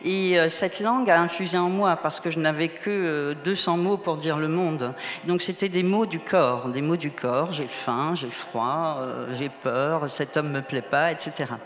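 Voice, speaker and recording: A woman's voice: sits low, a little slow. Woman's voice in a reverberant hall. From Moselle, eastern Lorraine, France. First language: Moselle Franconian German dialect. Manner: animated and emphatic, repeating words, many restarts. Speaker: novelist guest on a panel. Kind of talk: round-table discussion at a book fair. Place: public event hall. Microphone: table microphone. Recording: echoey hall with long reverb.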